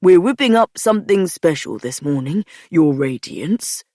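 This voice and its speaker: A short male head cook fusses over his guests with eager, soothing flattery, as a woman narrator puts him on. The voice sits lower and a touch louder, with a dry, close sound. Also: measured pace, no room sound. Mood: effusive.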